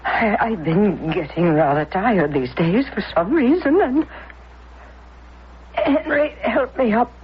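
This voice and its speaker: Slow, haughty elderly dowager. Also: female voice, mid-range voice, expressive. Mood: weary, flustered. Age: older. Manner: haughty, formal phrasing. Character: formidable, proper, elderly upper-class mother, opinionated dowager. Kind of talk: period radio drama acting. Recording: muffled, noisy old radio recording.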